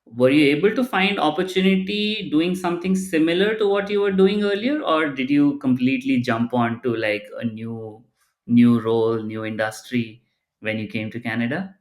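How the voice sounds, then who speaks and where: A man's voice: mid-range voice, steady tone, normal speed. Hesitant man, echoey room. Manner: hesitant, many fillers like "you know" and "uh". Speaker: podcast host. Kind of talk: interview questions.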